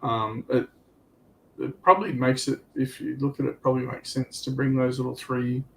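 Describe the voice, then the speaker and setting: A man's voice: mid-range voice, level tone, normal speed, gravelly, crowd noise, poor audio. A man on a livestream panel, chatting casually and telling anecdotes in a loose, informal way. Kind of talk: casual chat.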